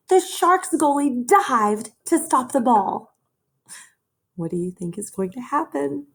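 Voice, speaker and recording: A woman reading a children's story aloud in a measured, expressive way, as a teacher would for young listeners. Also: fairly high pitch, husky. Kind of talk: children's read-aloud narration.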